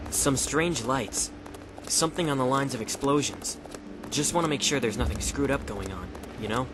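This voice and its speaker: A man's voice: mid-range voice, normal speed, close to an everyday voice. Man voicing a casual, alert cartoon hedgehog hero, noisy. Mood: alert, guarded. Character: Sonic, heroic male hedgehog, casual and alert. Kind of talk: fan audio drama acting. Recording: clearly noisy background ambience.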